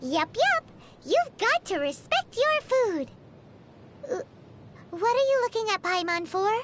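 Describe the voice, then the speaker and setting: Game fairy companion: childlike, extremely high and squeaky, slow-paced, cutely exaggerated, excitable and naive. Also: female voice, slight background noise, heavily acted. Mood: playful. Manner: speaks of self in third person, exaggerated cute delivery. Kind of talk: video game voice acting. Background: music.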